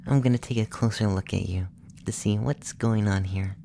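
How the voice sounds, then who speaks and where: Male actor as alien abductor, ASMR roleplay, hushed half-whisper, creaky low-key murmur, curious, condescending, close mic, noisy ambience. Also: steady tone, moderate pace. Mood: curious, teasing.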